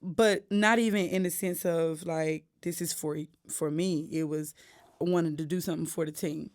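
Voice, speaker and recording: Deep-voiced woman telling stories. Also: moderate pace. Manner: animated storyteller, acts out quotes. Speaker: guest, gospel singer. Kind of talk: casual podcast interview.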